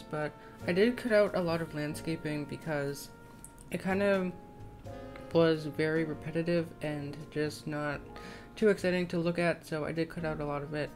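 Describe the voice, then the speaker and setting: A young woman with a slightly scratchy voice giving casual, tentative, rambling voiceover commentary on a game build. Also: low-pitched. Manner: rambling, self-conscious, tentative. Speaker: gaming video creator. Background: soft plucked guitar music.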